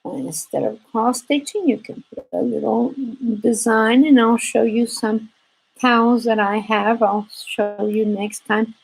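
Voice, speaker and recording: A native Spanish-speaking woman with a Spanish accent, hosting a casual craft livestream in a halting, rambling way and often repeating phrases. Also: fairly high pitch, gravelly, breathy edge, low-quality recording.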